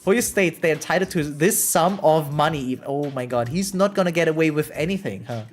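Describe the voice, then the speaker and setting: Livestreamer, mid-pitched voice, casual reaction chat, animated and exclamatory, reading aloud, music in the background. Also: moderate pace. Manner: animated, exclamatory, checks in with "right?". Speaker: variety streamer. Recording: music behind the voice.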